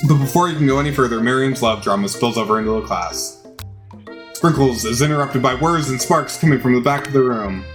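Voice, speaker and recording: A man's voice: mid-range voice, a little fast, soft background music. A man reading game text aloud as a dramatized narration during a playthrough.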